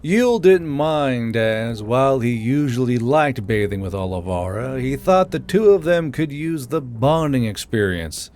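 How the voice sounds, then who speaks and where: A man's voice: medium pitch, animated, measured pace, few pauses, a little mellow. A man narrating a fantasy audiobook as the channel's host, in an informal storytelling style. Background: music.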